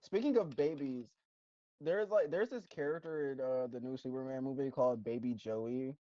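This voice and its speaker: A man riffs on a show in loose, slangy chatter, often breaking into laughter. His voice sits mellow and dark. The recording is dry and somewhat muffled. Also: medium pitch, moderate pace, no echo. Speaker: co-host of a reaction channel. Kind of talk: casual reaction chat.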